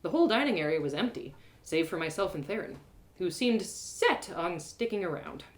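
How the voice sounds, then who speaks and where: A woman reading her own audio drama aloud live, with an expressive delivery, often laughing at her own lines. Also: lowish voice, moderate pace. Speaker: storyteller.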